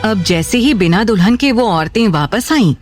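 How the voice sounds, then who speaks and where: A woman's voice: medium pitch, animated, normal speed, darkish tone. Woman narrating drama over faint music. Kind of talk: scripted dramatic story narration. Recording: music under the voice.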